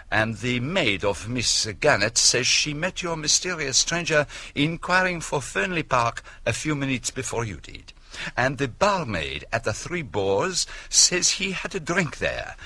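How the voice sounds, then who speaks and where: A shrewd, methodical foreign detective in a radio play. His voice is forward and bright, fairly high for a man, and swings expressively as he probes with deliberate questions. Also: exaggerated voice. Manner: deliberate, probing, rhetorical questions. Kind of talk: radio drama acting.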